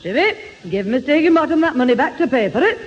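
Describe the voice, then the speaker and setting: A no-nonsense mother, scolding and commanding with lively swings of pitch. It is old radio comedy acting, muffled and with hall reverb. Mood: stern, scolding. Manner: brisk, exasperated, commanding. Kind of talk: 1970 radio sitcom acting.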